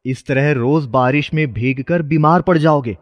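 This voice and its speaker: A man's voice: on the high side, normal speed, no room sound, in a large hall, dull audio, natural voice. A man voicing a carefree, kindly old mystical beggar with a fatherly manner in a children's moral story. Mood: kindly concern. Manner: benevolent, fatherly.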